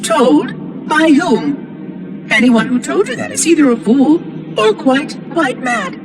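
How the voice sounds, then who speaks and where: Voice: sits high, very animated, slow, exaggerated voice. An actor voicing a prim, fussy ancient AI monitor in a video game, with pompous, precise diction and a synthetic edge. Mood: exasperated, insistent. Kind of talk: video game cutscene acting. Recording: music behind the voice.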